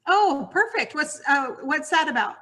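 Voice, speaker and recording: High bright woman, upbeat host. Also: animated, normal speed. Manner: upbeat, frequent "oh" and "very cool" reactions. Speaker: podcast host. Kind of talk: casual interview chat.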